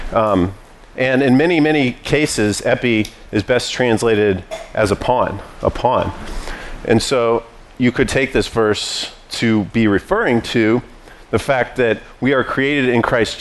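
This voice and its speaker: A man's voice: mid-range voice, slow pace, slight background noise. A man preaching a sermon as pastor, teaching in a conversational way with frequent restarts.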